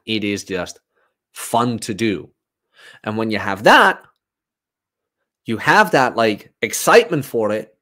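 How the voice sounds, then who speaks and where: A man in his thirties giving a casual, informal livestream monologue as a fitness coach, rambling and emphatic. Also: medium pitch. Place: small room at home, indoors.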